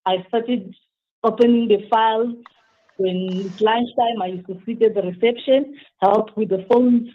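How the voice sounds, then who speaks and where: A woman's voice: a little high, unhurried. A woman in her thirties from Zimbabwe, telling her own story as a phone-in guest, hesitant and often restarting. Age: 30s. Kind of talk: phone-in interview, personal story. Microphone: telephone. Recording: tinny phone line.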